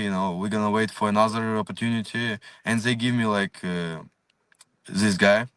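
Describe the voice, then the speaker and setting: A man's voice: lowish voice, a bit bright, smooth, no room sound, recorded from a distance. A young man from Ukraine, a fighter, answering interview questions in full of fillers, plain and determined. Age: young adult. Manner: non-native English, many fillers, plain and determined.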